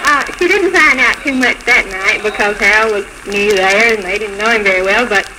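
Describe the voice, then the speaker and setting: A woman with a medium-pitched voice tells her story as a radio interview guest. She speaks politely and a little haltingly. The 1940s recording has clear crackle and noise. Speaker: bride, show guest. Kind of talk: unscripted personal storytelling in interview.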